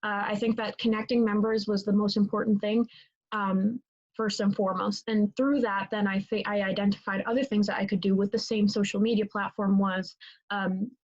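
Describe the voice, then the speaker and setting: Young woman, native Italian speaker, student-leader interviewee; mid pitch, rambling, lo-fi sound. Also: little pitch movement, moderate pace, darkish tone. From Italy. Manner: rambling, many fillers and restarts. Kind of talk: casual interview answer.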